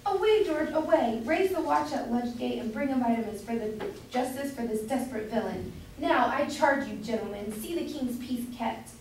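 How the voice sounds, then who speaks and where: A woman's voice: on the high side, moderate pace, a little mellow, a little hiss or hum, natural voice. A young woman student playing an alarmed, bossy, indignant onlooker in an amateur staged reading of a comedy. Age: young adult. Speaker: student actor. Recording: very echoey room from far off.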